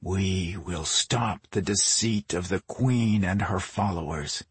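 A man voicing a sinister dark magical entity in a slow, commanding hiss for a fantasy audiobook. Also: deep voice, dry recording, pitched below the performer's own voice, heavily acted. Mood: menacing, commanding. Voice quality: hissing, breathy whisper.

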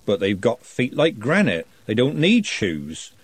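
A man's voice: medium pitch, huge pitch swings, unhurried, husky, no room sound, full character voice. A man voicing a mild, friendly male dwarf craftsman in a storybook reading.

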